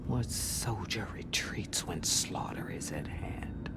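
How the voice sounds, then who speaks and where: A slow, menacing rebel warrior leader. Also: female voice, very deep voice, lively intonation, creaky, whispered, exaggerated voice. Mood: vengeful, menacing. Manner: menacing, deliberate, bitter storytelling. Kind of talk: dramatic video game acting. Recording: loud cinematic music behind. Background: game soundtrack music.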